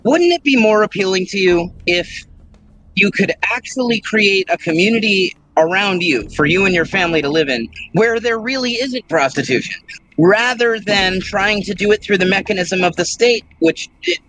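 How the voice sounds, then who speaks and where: A man in a live debate, making his case in a measured, persuasive way. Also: high-pitched, sharp tone, slight background noise, low-quality recording. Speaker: debate participant.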